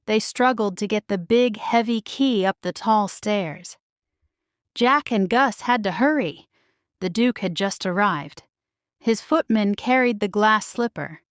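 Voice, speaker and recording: A woman narrating a children's storybook in a measured, expressive, scripted read-aloud style. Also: mid-range voice, clean tone, dry recording, studio quality. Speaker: storybook reader.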